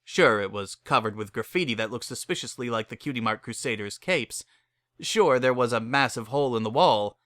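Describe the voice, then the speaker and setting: A man's voice: medium pitch, fairly quick, a bit bright, dry recording. A man reading a scripted story aloud as a dramatic narrator.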